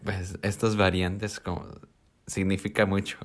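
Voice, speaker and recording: Guy co-host, very low voice, short dry remarks. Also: little pitch movement, a little slow. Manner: brief responses, dry asides. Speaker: podcast co-host. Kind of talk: casual chat.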